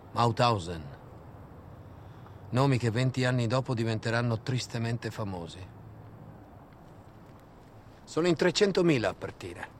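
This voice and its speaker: Male dramatic monologue actor: mid-pitched, emphatic, bitterly ironic storyteller, slight background noise. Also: lightly acted. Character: bitter, sardonic male storyteller of war captivity.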